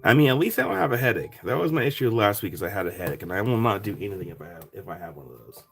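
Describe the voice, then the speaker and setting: A man with a raspy voice, chatting casually as he sells rocks on a live stream and thanks buyers by name. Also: fairly low pitch, animated, rapid-fire, faint music behind. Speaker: live stream rock seller. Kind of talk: casual live sale chat.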